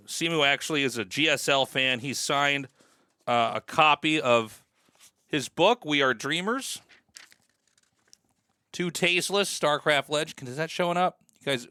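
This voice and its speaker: Bright-voiced man, casual streamer commentary. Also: mid-range voice, normal speed, smooth, dry recording, studio quality. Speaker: gaming streamer, host.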